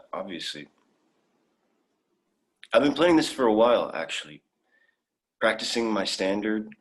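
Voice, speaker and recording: A man acting an eager, naive young alien visitor in a sci-fi drama, wide-eyed and blithe. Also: mid-range voice. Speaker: actor. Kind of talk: dramatic fan fiction performance.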